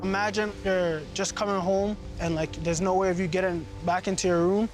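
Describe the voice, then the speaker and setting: A man's voice: sits high, fairly even intonation, brisk, soft background music. A young man casually recalling his family's past in an interview. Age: young adult.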